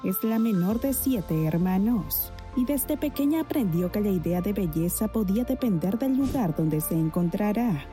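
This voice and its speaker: A woman reading a scripted feature story in a calm, even storytelling manner. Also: medium pitch, normal speed, few pauses, faint music behind. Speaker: feature narrator. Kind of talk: scripted narration.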